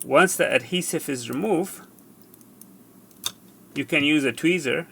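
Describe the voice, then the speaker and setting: Creaky-voiced man giving a repair demo. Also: fairly high pitch, sharp tone. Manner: halting, step-by-step, repeats himself. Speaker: repair tutorial presenter. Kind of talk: hands-on how-to demonstration. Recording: faint background noise.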